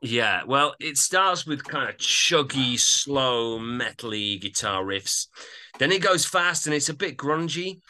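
A man with a very bright, forward voice at a middling pitch. He talks casually as a music podcast co-host, informal and agreeable in the way he discusses records. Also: animated, takes it slowly, a little hiss or hum. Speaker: podcast co-host reviewing albums.

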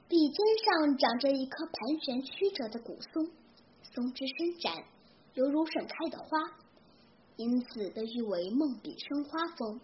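A teenage girl from Hefei narrating a scripted legend in a careful, expressive recital style. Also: sits high. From Hefei, Anhui, China. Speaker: young storyteller. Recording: dull lo-fi recording with clear background noise.

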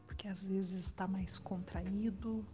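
A Brazilian woman guiding a relaxation meditation in a soft, soothing way, with long pauses and breathy phrase endings. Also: medium pitch, steady tone. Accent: Brazilian Portuguese. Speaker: meditation guide. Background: background music.

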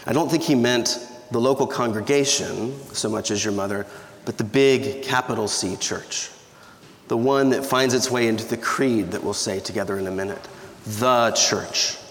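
A man in his thirties preaches to his congregation with a somewhat low, slightly scratchy voice worn by allergies. He talks in a loose, conversational way, joking and restarting his sentences. The sound carries a long church reverb with faint room ambience behind it. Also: normal speed, fairly bright tone. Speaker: pastor. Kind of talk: sermon. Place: church sanctuary. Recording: echoey church hall with reverb.

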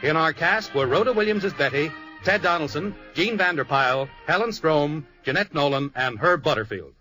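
A man's voice: fairly high pitch, expressive, moderate pace, pushed and bright, faint music behind, low-quality recording. A man announcing closing credits and sponsor lines in polished 1950s radio style. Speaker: show announcer reading credits.

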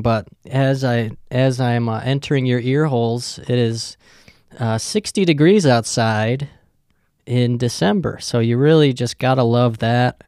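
A man's voice: mid-range voice, darkish tone, clear, resonant tone, no room sound, studio quality. A young man hosting his own podcast, talking in a loose, joking and rambling way, with lots of swearing. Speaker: podcast host. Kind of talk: casual rambling monologue.

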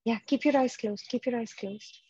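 A woman leading a guided inner-child meditation, speaking slowly and softly with gentle pauses. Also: on the high side, husky, no room sound. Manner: slow, gentle, soothing, with pauses. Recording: dull, lo-fi dry recording.

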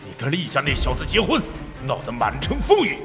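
A stern patriarch rebukes in a modern radio drama. His highish voice is very animated, drenched in reverb with music behind. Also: fairly quick. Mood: angry, reproachful. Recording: long reverb, loud music.

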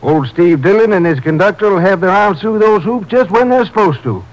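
High-voiced folksy Texas station master. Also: male voice, animated, a little fast. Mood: unhurried, reassuring. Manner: garrulous, unflappable, folksy. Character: folksy, unhurried small-town Texas railroad station master. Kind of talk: 1950s radio drama acting. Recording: noisy old 1950s radio recording.

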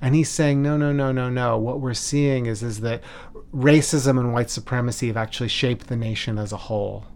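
A man speaking as a scholar in an interview, explaining history in a measured, emphatic way with deliberate lists. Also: mid-range voice, steady tone, darkish tone. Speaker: scholar, expert commentator.

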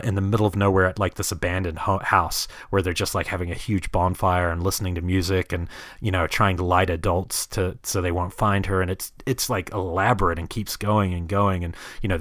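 A man's voice: low-pitched, a bit bright, dry recording, studio quality. A man from New Zealand chatting casually about films as a podcast host, hesitant and rambling as he thinks aloud. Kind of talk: casual film discussion.

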